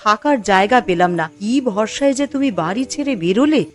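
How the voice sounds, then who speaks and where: A medium-pitched female voice acted out as a practical, supportive cartoon wife. She is gentle and questioning, with faint music behind her. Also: lively intonation, fairly quick, close to an everyday voice. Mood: worried. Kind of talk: cartoon dialogue acting.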